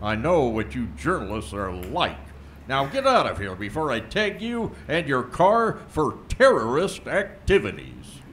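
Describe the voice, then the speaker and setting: An actor playing a gruff, officious, blustering small-town sheriff in a comic audio drama. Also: male voice, mid-range voice, wildly swooping pitch, unhurried, a bit bright. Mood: threatening, blustering. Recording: noticeable background noise.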